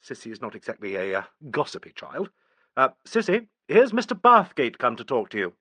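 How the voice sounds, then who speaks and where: A man doing a brisk crisp inspector. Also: medium pitch, huge pitch swings, bright, forceful tone, dry recording, full character voice. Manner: crisp, coaxing.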